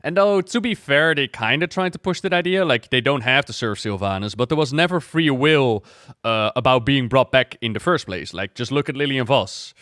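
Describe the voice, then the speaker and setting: A man giving casual reaction commentary as a game lore streamer, musing out loud in a conversational way. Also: medium pitch, normal speed, no room sound, clean studio sound.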